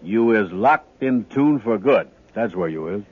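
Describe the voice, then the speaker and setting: An actor playing a pompous, boastful, slow-witted man in a 1950s radio sitcom, speaking grandiose folksy dialect. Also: fairly low pitch, lively intonation, husky. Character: pompous, slow-witted, boastful adult male would-be inventor. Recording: dull, band-limited old radio broadcast.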